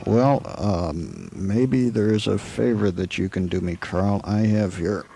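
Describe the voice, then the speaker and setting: A man playing a steady, weary frontier marshal in a western radio play, with a halting, folksy, deliberate delivery. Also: sits low, creaky, husky, heavily acted. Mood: friendly, guarded.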